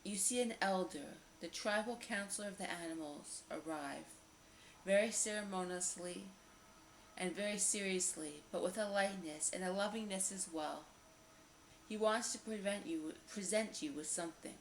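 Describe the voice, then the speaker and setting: A woman narrating a guided meditation visualization in a slow, repetitive, soothing manner with lingering pauses. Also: mid-range voice. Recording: roomy echo, faint background noise.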